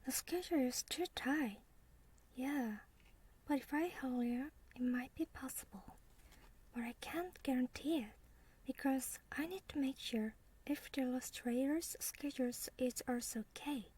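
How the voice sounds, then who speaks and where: A woman speaking in a soft, breathy whisper with careful, unhurried words, role-playing a writer in an ASMR style. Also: sits high. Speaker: ASMR role play performer.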